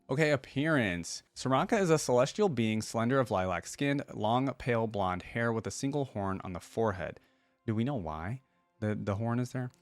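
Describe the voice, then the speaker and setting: A man hosting a reaction video, reading text aloud and thinking out loud in casual asides between passages. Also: on the low side.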